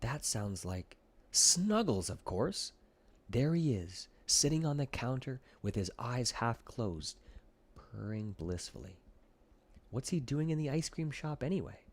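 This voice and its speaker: A man's voice: medium pitch, sing-song, measured pace. A man narrating a scripted children's audiobook as its storyteller.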